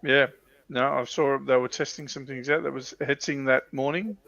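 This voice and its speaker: A man with a mid-pitched voice talks informally and keenly, circling back to restart phrases. The recording is dry and a little dull, like modest livestream audio. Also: steady tone, moderate pace, gravelly, fairly bright tone, no echo. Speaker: livestream panellist. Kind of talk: casual enthusiast chat.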